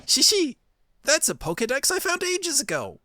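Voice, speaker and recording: Streamer putting on old plant-creature professor, jovial, quaint, swooping pitch, video game character, faint music. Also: huge pitch swings, moderate pace, bright, forceful tone, heavily acted. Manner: chuckling, quaint, genteel exclamations. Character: jovial old professor made of rustling vines.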